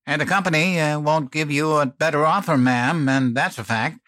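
A man's voice: on the high side, normal speed, a bit bright, dry recording, voice pushed up, close to an everyday voice. A man doing a stiff corporate man in a suit, formal and dismissive, close dry sound, slightly raised voice. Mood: coldly formal. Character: smooth, cold company man in a suit.

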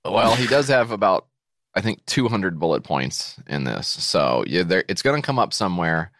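An American man co-hosting a comedy podcast, sarcastic and jokey, reading an ad in mock-excited bursts. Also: low voice, normal speed, dry recording, clean studio sound. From United States. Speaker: podcast co-host. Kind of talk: comedic podcast banter and read-out ad.

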